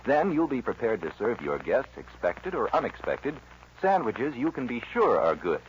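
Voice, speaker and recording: A man's voice: mid-range voice, wildly swooping pitch, moderate pace. A man reading a scripted advertisement as a commercial announcer on a 1940s radio recording. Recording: muffled, slightly noisy vintage radio recording.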